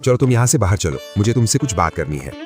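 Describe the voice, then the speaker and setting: A man playing a rich, snobbish, scornful elder brother in an animated moral story, speaking haughtily. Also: fairly low pitch, fast, over music. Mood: curt.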